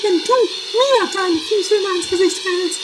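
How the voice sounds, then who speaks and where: A performer playing a bossy, vain, theatrical sister in an improvised trashy comedy audio drama, loud and sarcastic. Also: very high voice, a little slow, heavy background noise. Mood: reproachful.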